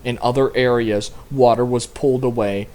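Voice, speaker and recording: A man playing a grave government spokesman reading a formal, measured emergency announcement about a disaster. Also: medium pitch, steady tone, darkish tone, natural voice, low-quality recording. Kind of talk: scripted emergency broadcast announcement.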